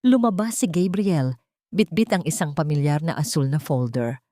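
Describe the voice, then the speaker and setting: A woman with a Filipino accent narrating a sentimental story in a measured, emotive, scripted storytelling manner. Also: deep voice, dark tone, dry recording, studio quality.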